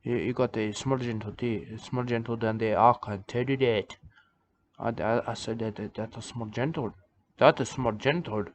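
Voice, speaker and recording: A man playing an odd male preacher of a nudist church, who talks in halting broken English and keeps repeating himself. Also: medium pitch, fairly even intonation, a little hiss or hum, close to an everyday voice. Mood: rambling. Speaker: self-styled church leader. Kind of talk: rambling comic monologue. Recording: dull lo-fi recording.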